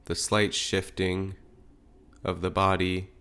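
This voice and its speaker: A man leading a guided meditation as a teacher, speaking in gentle, unhurried phrases with pauses. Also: deep voice, monotone, vocal fry, smooth.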